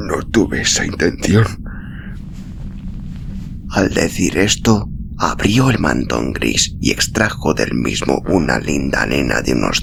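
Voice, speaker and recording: A man with a deep, gravelly voice reading literary narration slowly and in a measured way, with dramatic pauses. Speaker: audiobook narrator. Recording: clearly noisy recording.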